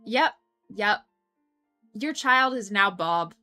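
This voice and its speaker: Bright-voiced chatty young woman streamer. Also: medium pitch, animated, takes it slowly. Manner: chatty, laughs often, many ums and restarts. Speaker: streamer hosting a coworking stream. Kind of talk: casual chat reading viewer comments. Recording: faint background music. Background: faint music.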